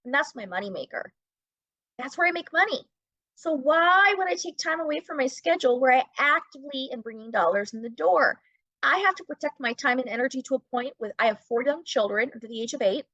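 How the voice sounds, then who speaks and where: A middle-aged woman with a fairly high voice speaks conversationally as an interview guest. She drives points home with emphasis and rhetorical questions. The sound is very dry and a little muffled. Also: lively intonation, fairly quick, bright, forceful tone, no echo. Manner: emphatic, asks and answers own questions. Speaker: podcast guest, brand-building business owner and teacher.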